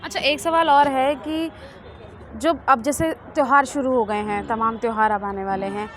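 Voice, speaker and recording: A woman's voice: high voice, fairly even intonation, normal speed, fairly bright tone. A woman working as a street reporter, asking passers-by questions in a conversational way. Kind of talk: vox pop interviewing. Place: outdoors on an urban street.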